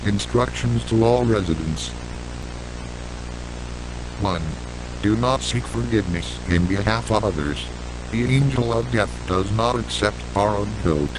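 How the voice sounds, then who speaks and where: Stern low-voiced man, noisy emergency broadcast. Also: a little slow, long pauses. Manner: stern, formal, authoritative. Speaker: emergency announcer. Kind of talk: scripted emergency broadcast announcement. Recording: noisy hum and hiss, retro broadcast.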